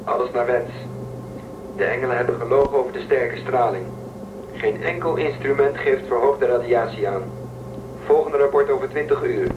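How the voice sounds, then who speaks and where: A man's voice: mid-range voice, normal speed, lightly acted. A man playing a cautious shuttle pilot. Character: cautious male colonist Darf. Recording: dull, hissy old recording.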